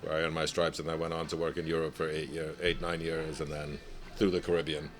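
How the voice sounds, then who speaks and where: A man from Nigeria with a very deep, low voice talks through his career as a chef. He speaks in a measured, reflective way and drops in a light laugh now and then. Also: monotone. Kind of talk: casual interview.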